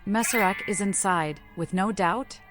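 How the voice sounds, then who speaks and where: A woman's voice: mid-range voice, measured pace, over music, natural voice. A woman voicing a cryptic, unhinged female vampire in a video game, with an eerie playful temper and terse, odd phrasing. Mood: probing, accusing.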